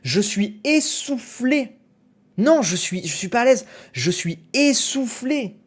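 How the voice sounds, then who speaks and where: Animated man reacting on a live stream. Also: extremely high pitch, slow, husky. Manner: animated, self-mocking, exclamatory.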